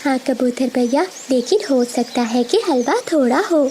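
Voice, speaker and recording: A woman's voice: high-pitched, moderate pace, dark tone, a little hiss or hum, lightly acted. A woman voicing a kind, polite female sparrow in a children's cartoon.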